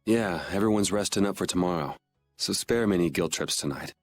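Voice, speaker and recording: Casting: cool sardonic young game hero, lowish voice, music underneath. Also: male voice, fairly quick. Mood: resolute. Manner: casual, sardonic. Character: cool, wry, irreverent young swordsman. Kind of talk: video game voice acting.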